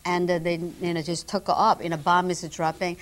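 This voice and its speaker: A woman's voice: lowish voice, level tone, a little fast. A middle-aged woman from Korea with a Korean accent and simple, broken English, a talk-show guest vividly telling her story on a TV studio set, on a lapel mic. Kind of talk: casual chat. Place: TV studio set decked with flowers.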